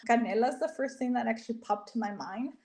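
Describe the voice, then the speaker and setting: A woman's voice: fairly high pitch, quick pace, dark tone. A woman whose first language is Spanish, chatting casually as an interview guest and explaining things with little quoted asides. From Bolivia. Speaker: podcast guest, dietitian.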